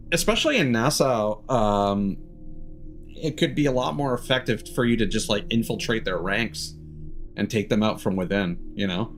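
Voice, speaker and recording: Slow-talking man streaming over game music. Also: fairly low pitch, animated, fairly bright tone. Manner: musing, halting, trailing off mid-thought. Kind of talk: casual livestream game commentary.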